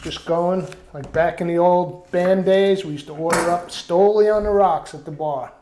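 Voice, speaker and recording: A man's voice: high voice, steady tone, moderate pace, reverberant, background noise. A man hosting a casual home cooking vlog, chatting and rambling through stories. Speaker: cooking show host. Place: outdoor backyard kitchen.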